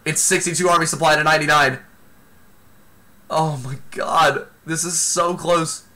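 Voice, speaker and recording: A man giving excited live play-by-play commentary on a video game match, exclaiming often, while losing his voice. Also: fairly high pitch. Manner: excitable, exclaims often, talks fast in bursts. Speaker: esports commentator.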